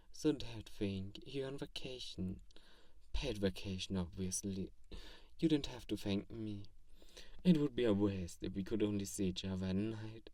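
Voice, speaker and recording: A man voicing a kindly, grandiose vampire who befriends a lonely human, speaking softly and earnestly in an intimate ASMR roleplay. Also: lowish voice, no echo, far from the mic, natural voice. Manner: soft-spoken, earnest, grandiose, gently teasing. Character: kindly, theatrical, self-styled great male vampire befriending a lonely human.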